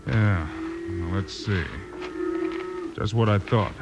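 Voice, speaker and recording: An actor playing a calm, brave marshal in an old radio western, matter-of-fact and commanding, with an American accent. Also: male voice, fairly low pitch, takes it slowly, highs cut off, heavy background noise. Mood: alert. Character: calm, steady, brave frontier U.S. marshal hero. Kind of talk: 1950s radio western acting.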